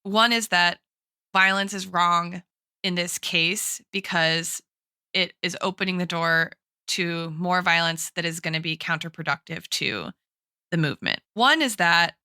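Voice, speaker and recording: A woman's voice: lowish voice, measured pace, pushed and bright, smooth, no room sound, studio quality. A woman in a casual, analytical podcast discussion, thinking aloud as she goes and often checking in with "right?". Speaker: podcast co-host and guest expert.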